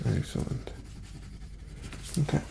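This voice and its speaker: A man speaking softly and breathily in an ASMR doctor roleplay, often slipping into a whisper as he gives gentle, reassuring, step-by-step instructions. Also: slow pace, a little hiss or hum. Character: calm, courteous male doctor examining a patient. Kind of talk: soft-spoken ASMR medical exam roleplay.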